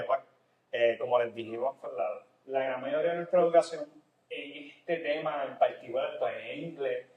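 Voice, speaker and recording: A man with a Puerto Rican Spanish accent mixed with some Nicaraguan, chatting casually as a therapist co-hosting a podcast. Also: medium pitch. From Puerto Rico. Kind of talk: casual conversational podcast talk. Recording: roomy, echoey sound.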